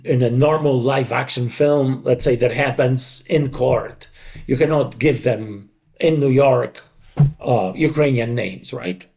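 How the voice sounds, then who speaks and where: Middle-aged male interview guest, gravelly mid-pitched voice, explains things emphatically in conversational phrases. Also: darkish tone. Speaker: interview guest, dubbing creative director.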